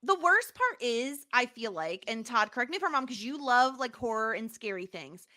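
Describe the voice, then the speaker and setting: A woman hosting a podcast, recapping a TV episode in casual chat and telling it in an animated storytelling way. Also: fairly high pitch, normal speed. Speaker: podcast host.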